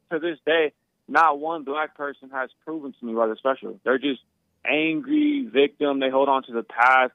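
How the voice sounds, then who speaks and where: A man's voice: mid-range voice, dry recording. Guy ranting over a crappy phone line, keeps repeating himself, dry and tinny. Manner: insistent, repeats himself, stumbles over words. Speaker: caller. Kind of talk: phone-in argument.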